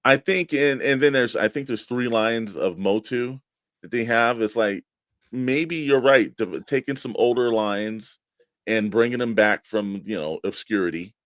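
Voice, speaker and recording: A man's voice: mid-range voice, measured pace, a bit bright, no room sound. A man co-hosting a hobby show in casual discussion, with a loose, meandering way of phrasing things. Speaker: co-host of a toy-collecting show.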